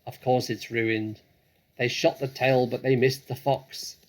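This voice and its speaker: A man voicing a bossy, impatient mistress of the house in a storybook, calling out loudly and snappishly. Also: medium pitch, level tone, measured pace, close to an everyday voice.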